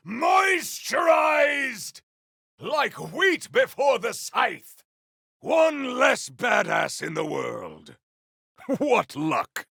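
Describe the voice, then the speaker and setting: A man voicing a grandiose, battle-hungry warrior in a video game, with a rough, gravelly voice and bombastic, theatrical delivery. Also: very high voice, pushed and bright, dry recording, studio quality, full character voice. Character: grandiose, battle-hungry male warrior with fire and ice powers. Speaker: playable game character. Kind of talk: video game combat barks.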